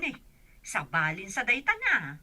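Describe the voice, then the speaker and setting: A woman playing an excitable, gossipy village shop woman in an Ilocano radio comedy drama, with an Ilocano accent. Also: mid-range voice, measured pace, exaggerated voice. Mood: embarrassed, fussing.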